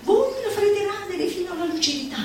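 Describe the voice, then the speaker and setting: A woman's voice: extremely high pitch, lively intonation, fast. A woman stage performer giving a theatrical recitation of a satirical manifesto, declamatory and exhorting with mock-solemn emphasis. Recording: very echoey room, distant mic, faint noise.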